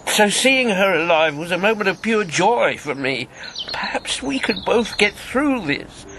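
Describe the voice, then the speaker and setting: A man's voice: high voice, animated, background noise. An actor in a radio drama playing an embittered, grieving old man, once a wartime secret agent, speaking with weighty deliberation. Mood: grieving, intense. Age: 70s. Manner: slow, weighty reminiscing with bitter outbursts.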